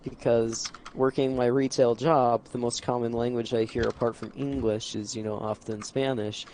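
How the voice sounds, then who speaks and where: A man's voice: mid-range voice, flat delivery, moderate pace, darkish tone, slight background noise. A man from the United States chatting on an online voice call, telling rambling stories full of "like" and "you know". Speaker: stream co-host. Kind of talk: casual group chat on a voice call. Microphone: online voice chat mic.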